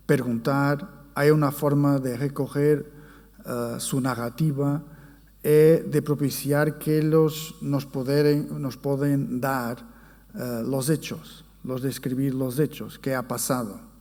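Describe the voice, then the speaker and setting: A man with a Portuguese accent, a native Portuguese speaker, gives a formal conference presentation, haltingly searching for words in his second language. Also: medium pitch, level tone, darkish tone. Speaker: academic conference speaker, forensic psychologist. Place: conference hall. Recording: reverberant hall sound.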